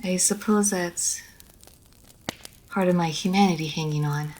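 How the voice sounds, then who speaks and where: Woman acting a low-voiced, genteel vampire lady. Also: darkish tone, a little hiss or hum, close to an everyday voice. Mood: shy, confiding. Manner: formal, measured, old-fashioned genteel phrasing. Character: genteel immortal vampire lady of a manor, poised, teasing. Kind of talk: scripted roleplay audio story, addressed to the listener.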